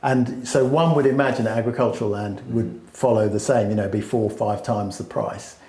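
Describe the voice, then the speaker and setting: A man's voice: lowish voice, fairly even intonation, brisk, darkish tone, reverberant. A man explaining land buying at length in a casual chat, with many fillers and restarts. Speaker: smallholding expert.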